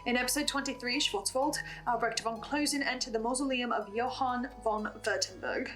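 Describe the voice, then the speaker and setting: A woman's voice: a little high, moderate pace, a bit bright. A woman narrating a scripted recap as the host of an analysis video, with an earnest, investigative manner. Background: music bed.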